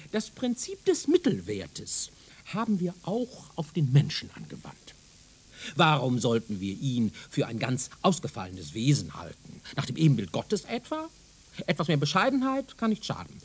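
A self-important professor in a radio drama. His voice is high and swoops animatedly as he lectures with condescending emphasis. There is a husky rasp to it, and he sounds thoroughly sure of himself. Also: wildly swooping pitch, normal speed, full character voice. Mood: self-assured lecturing. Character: pompous, self-assured male museum professor, excitable and condescending. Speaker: radio play actor. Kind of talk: German radio drama acting.

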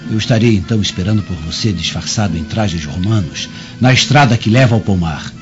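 Guy doing desperate vengeful plotter in brazilian radio drama, deep voice, solemn, music behind. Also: expressive, brisk, natural voice. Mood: conspiratorial, assured. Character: vengeful desperate male conspirator against Roman masters. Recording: muffled lo-fi audio, music underneath.

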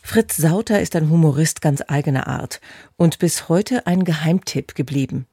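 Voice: mid-range voice, moderate pace, warm tone, husky, dry recording, studio quality. A radio announcer reading a scripted introduction to a programme.

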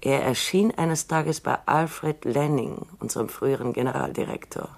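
A woman in her seventies playing a shrewd retiring robot psychologist in a radio drama, measured and reflective, sometimes dropping to near whispers. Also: very deep voice, vocal fry, a little mellow, exaggerated voice. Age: 70s. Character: shrewd elderly female robot scientist, dryly wry.

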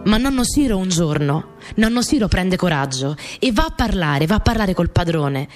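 A woman's voice: lowish voice, very animated, moderate pace, a little mellow, faint music behind. An actress playing a young peasant girl who recounts her rural family life in simple, repetitive phrases for a radio play. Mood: reflective. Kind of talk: first-person dramatic storytelling.

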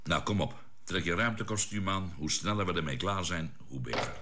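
A man acting a calm, practical ship's doctor in a science fiction radio drama, measured and matter-of-fact. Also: low voice, very noisy. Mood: brisk.

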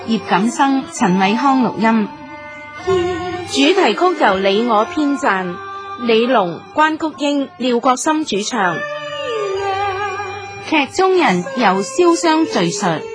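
Measured, animated woman radio announcer. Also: on the high side. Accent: Hong Kong. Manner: formal, measured. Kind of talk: scripted radio drama credits.

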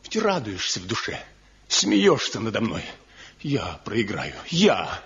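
An actor playing Nayden, a jealous, bitter master craftsman accusing his wife in a 1970s radio play, with a husky voice. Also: on the low side, wildly swooping pitch, takes it slowly, a bit bright, exaggerated voice. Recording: dull lo-fi old radio recording.

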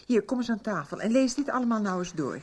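A woman's voice: on the high side, expressive, fast, darkish tone, a little hiss or hum, lightly acted. An actress playing a worried but determined mother in a 1990s Dutch radio soap. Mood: urging, determined.

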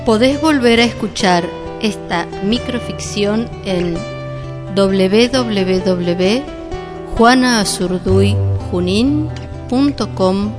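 A woman's voice: medium pitch, takes it slowly. A woman reading scripted radio announcements as the programme's announcer. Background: guitar music.

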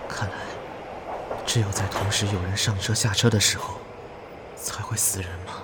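A man's voice: lowish voice, level tone, normal speed, whispered, lightly acted. A man acting an anxious young man caught in a deadly subway game, in dramatic inner monologue. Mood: wary, pondering.

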